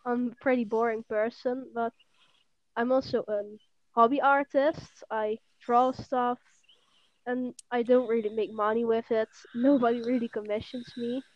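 A teenage girl from the Netherlands with a Dutch accent, a guest on a casual podcast who talks in an unhurried way with many ums. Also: fairly high pitch, fairly even intonation, no echo. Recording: dull, muffled audio.

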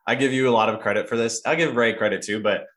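A man's voice: medium pitch, fast, fairly bright tone. A man hosting a podcast in a casual, personal chat, rambling and often interrupting himself as he goes. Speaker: podcast host.

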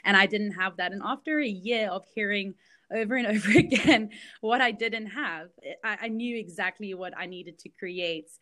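A woman's voice: mid-range voice, moderate pace, sharp tone. A woman in her thirties, originally from Belgium, telling her story as an interview guest in a candid, chatty way with many fillers. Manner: candid storytelling with frequent fillers and light laughs. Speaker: guest, business founder. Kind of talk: casual interview chat.

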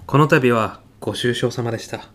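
A man playing an earnest physicist in an audio comedy sketch, speaking formally and reverently of his mentor with deadpan, grandiose solemnity. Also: medium pitch, moderate pace, noisy background, lightly acted. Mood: solemn, respectful. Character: earnest, reverent male physicist, devoted protégé of late professor.

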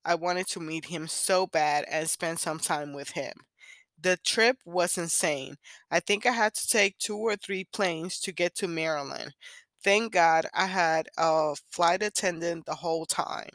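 A woman's voice: on the low side, unhurried, fairly bright tone, dry recording. A woman in her thirties from Puerto Rico, a native Spanish speaker with a Puerto Rican accent, hosting a casual, candid solo podcast. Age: 30s. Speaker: podcast host.

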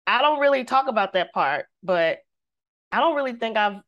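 A woman, a singer, telling a personal story in casual conversation, lively and devout, acting out the voices she quotes. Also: a little high, moderate pace, highs cut off. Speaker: guest.

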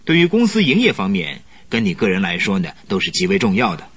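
A company director in a radio play, loud and energetic. His husky voice is bright and forceful, swinging widely in pitch as he persuades and patronizes with scheming heartiness. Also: quick pace, heavily acted. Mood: brisk, instructing. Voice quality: husky, robust. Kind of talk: Mandarin radio drama acting.

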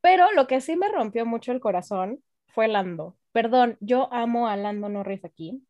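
A young woman, co-hosting a podcast in casual, excitable, gushing fan chat. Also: a little high, normal speed, dry recording, muffled recording. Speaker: podcast co-host. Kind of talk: casual chat about racing.

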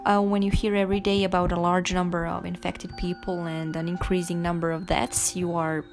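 A young woman from Serbia shares her opinion in a slightly low voice, thoughtful and a bit hesitant. Instrumental music plays clearly underneath. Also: little pitch movement, fairly quick. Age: young adult. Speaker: interviewee. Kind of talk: recorded opinion.

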